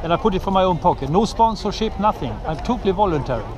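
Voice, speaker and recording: A Swedish man speaking halting English with many pauses and restarts, chatting outdoors at a street event into a head-mounted camera. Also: sits high, animated. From Sweden. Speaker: road-safety volunteer filming at a bike race. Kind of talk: casual chat with strangers. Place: outdoors at a busy street race start. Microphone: head-mounted action camera. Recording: crowd noise around an outdoor event.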